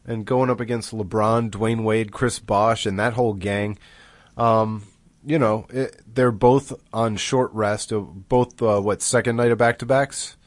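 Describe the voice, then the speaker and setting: A man hosting a casual sports podcast, his voice deepened as he recovers from an illness, talking in a rambling conversational way. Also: steady tone, unhurried, no room sound. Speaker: podcast host.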